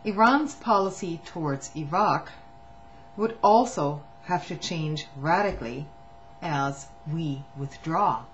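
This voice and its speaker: A woman reading a written testimony aloud in a measured way, now and then stumbling and restarting a phrase. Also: sits low, expressive. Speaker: reader of a public document. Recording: muffled lo-fi recording with faint hum.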